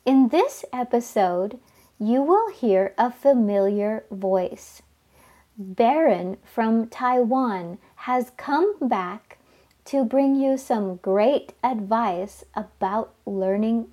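Very slow, clear-spoken woman host. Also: fairly high pitch, warm tone. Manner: slow, carefully enunciated teacher talk. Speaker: podcast host and English teacher. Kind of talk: slow, clear podcast interviewing.

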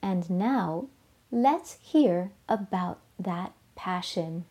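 Very slow, gentle podcast host woman. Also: lowish voice, expressive, husky. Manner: gentle, measured, encouraging. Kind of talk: scripted intro and interview questions.

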